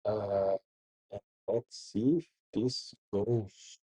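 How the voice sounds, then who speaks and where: Middle-aged man host, husky and unhurried, talking himself through commands. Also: fairly low pitch, mellow. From Porto, Portugal. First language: Portuguese. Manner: thinks aloud while reading out commands. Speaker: channel host. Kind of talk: casual live coding commentary.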